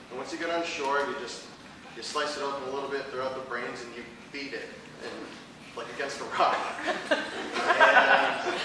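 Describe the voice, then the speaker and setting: A man's voice: mid-range voice, heavy background noise. An American man doing a rambling improv monologue on stage, laughing at his own stories. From United States. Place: small theater stage. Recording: echoey hall from far off, with crowd.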